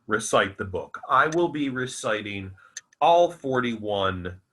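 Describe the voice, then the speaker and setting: A man reciting his own literary fiction as its author, in a theatrical, deliberate and emphatic manner. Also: medium pitch, expressive, bright, forceful tone. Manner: dramatic, deliberate, emphatic with repeated phrases. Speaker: author reading own novel aloud.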